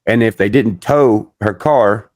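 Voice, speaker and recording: A man's voice: fairly low pitch, unhurried, a little mellow, no room sound, studio quality. A man chatting casually in loose, laid-back slang. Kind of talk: casual chat with slangy speech.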